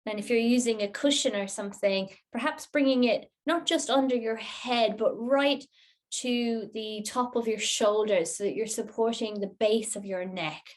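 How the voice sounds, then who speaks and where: A woman teaching a yoga nidra class, giving guided relaxation instructions in a gentle, unhurried, soothing manner. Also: fairly high pitch.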